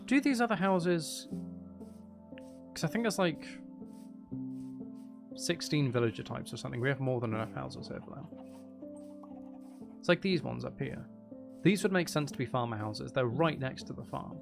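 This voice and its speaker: A man's voice: mid-range voice, animated, normal speed, creaky, pauses often. A man giving casual live gameplay commentary, chattily thinking aloud and muttering to himself with mild exasperation. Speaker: gaming streamer. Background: background music.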